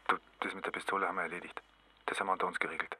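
A brisk, matter-of-fact colleague in a radio play. He is low-pitched and very fast, with a sharp edge and faint noise. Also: flat delivery, poor audio. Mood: urgent. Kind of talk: radio drama dialogue.

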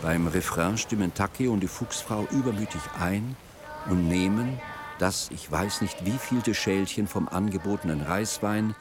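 A man's voice: deep voice, unhurried, music in the background. A man reading scripted narration for a radio drama.

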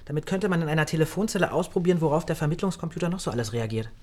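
An actor playing an excitable young male phone hacker in a naturalistic radio drama, halting and thinking aloud. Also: fairly high pitch, lightly acted. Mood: inventive, excited.

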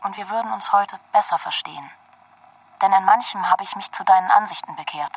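A woman's voice: mid-range voice, a little fast, slightly rough, no echo. An actress voicing an earnest, candid woman confiding in a letter, in a literary radio drama. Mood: earnest, reflective.

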